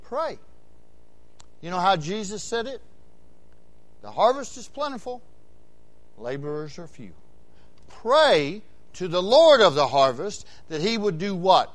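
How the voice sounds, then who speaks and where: Sixties male Baptist preacher, high-pitched, rhythmic repetition, loud emphatic peaks. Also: big pitch swings, measured pace, long pauses. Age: 60s. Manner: preaching with big swings between quiet asides and loud shouted emphasis; repeats phrases in rhythmic runs. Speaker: Baptist pastor. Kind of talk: sermon.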